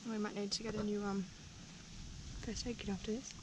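Young woman vlogging over clear background noise. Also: mid-range voice, level tone, brisk. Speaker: travel vlogger. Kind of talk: casual vlog chat. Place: mostly outdoors at campsites.

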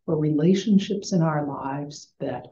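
Slow, mellow older American woman. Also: low voice. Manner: gentle, simple explanatory phrasing. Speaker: chaplain. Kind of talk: chapel talk to schoolchildren. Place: small home room with bookshelves. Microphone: computer mic on video call. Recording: roomy, dull video-call sound.

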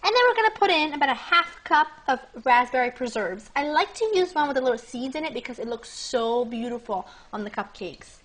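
A young woman hosting a cooking demonstration in her home kitchen, chatting brightly and speaking straight to her viewers. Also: high voice, animated, brisk, hardly any pauses, slight background noise, poor audio. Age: young adult. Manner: bubbly, chatty, addresses viewers directly. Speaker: cooking show host. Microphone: lapel mic.

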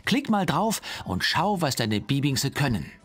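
A man's voice: mid-range voice, big pitch swings, a little slow, fairly bright tone, husky, soft background music. A man reading scripted instructions for a children's game in an explanatory way. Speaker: game narrator.

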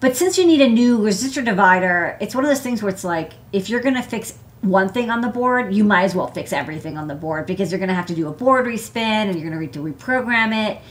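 A woman's voice: medium pitch, animated, fast talker, hardly any pauses. An American woman, thirties or forties, hosting a casual live hardware show-and-tell in a rambling, chatty way full of "like" and "you know". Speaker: host, electronics engineer. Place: indoor desk workspace.

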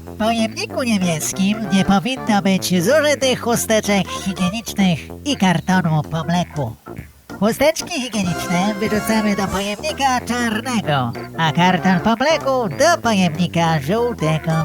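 Brief: actress as female radio quiz announcer, medium pitch, presenterly and emphatic, clear music bed. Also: animated, unhurried, natural voice. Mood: upbeat.

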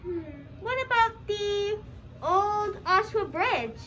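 A woman's voice: very high-pitched, animated, slow, pushed and bright, heavily acted, rough recording. A young female student voicing a puppet character in a scripted comic puppet show. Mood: puzzled, exasperated. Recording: faint background noise.

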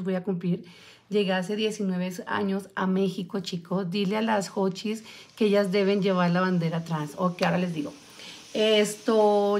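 Chatty woman in her forties. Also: medium pitch. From Colombia. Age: 40s. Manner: chatty, answers viewer comments as she talks, many fillers. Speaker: vlogger. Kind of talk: casual live-stream chat. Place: small room at home, indoors. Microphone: phone camera close up.

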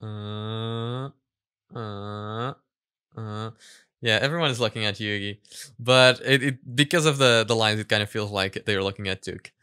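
A man in his twenties giving casual, animated reaction commentary with halting starts, close on a studio mic. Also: fairly low pitch, clear, resonant tone, dry recording. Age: 20s. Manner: halting, animated, laughs easily. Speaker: host of a reaction video series. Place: small room at home, indoors. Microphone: close boom-arm studio mic.

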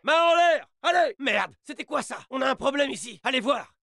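An actor playing an alert, aggressive soldier in a video game, giving clipped military callouts. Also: male voice, very high voice, expressive, moderate pace, creaky, heavy background noise, heavily acted. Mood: urgent, commanding. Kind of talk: video game battle chatter voice lines.

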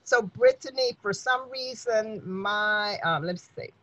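A woman's voice: mid-range voice, unhurried, a bit bright. A woman giving casual instructions as host of an online group meeting. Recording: slightly dull video call audio.

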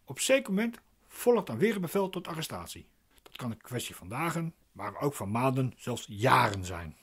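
A man's voice: medium pitch, sing-song, normal speed, a bit bright, slightly rough. An actor playing a glib, pedantic man explaining legal procedure in a radio play, insistent and didactic.

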